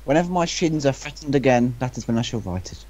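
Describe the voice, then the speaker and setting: A young man bantering casually with friends, blustery and quick to interject. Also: mid-range voice, expressive, darkish tone, dry recording. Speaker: amateur author reacting to his story. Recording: dull, lo-fi voice chat.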